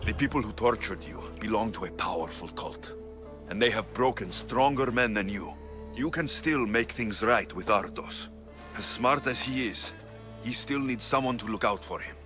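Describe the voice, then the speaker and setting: An actor playing a tough, sardonic, protective mercenary of ancient Greece in a video game cutscene, blunt and commanding. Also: male voice, fairly low pitch, normal speed, music in the background, lightly acted. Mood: firm, dry. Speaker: mercenary hero.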